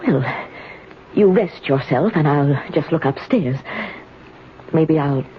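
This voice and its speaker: A woman's voice: low-pitched, slow. A woman playing a timid, polite retired lady in a radio drama, hesitant and easily flustered. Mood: uneasy, polite. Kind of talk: 1970s radio drama acting. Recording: dull, faintly noisy old radio recording.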